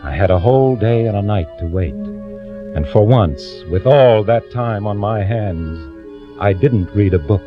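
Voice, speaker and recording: Quiet schemer in an old radio mystery, kinda low careful voice, dull old recording. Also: male voice, lively intonation. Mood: calm, calculating. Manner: measured, confiding first-person narration. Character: quietly scheming male clerk turned impostor, narrating his plot. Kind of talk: 1940s radio acting, scripted narration. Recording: muffled old radio, music behind.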